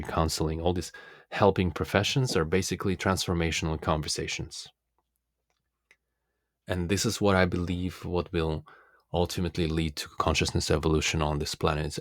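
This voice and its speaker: A man from Russia, a native Russian speaker, giving a reflective, philosophical self-help monologue in an earnest, deliberate manner as a coach. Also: a bass voice, dry recording, clean studio sound.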